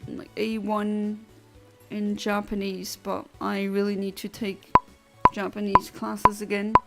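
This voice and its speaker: Woman, gravelly fry, blunt and literal, casual streamer chat. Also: mid-range voice, monotone, slow, loud music behind the voice. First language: Luxembourgish. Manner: blunt, literal and chatty, with many restarts. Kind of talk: casual chat answering a livestream chat.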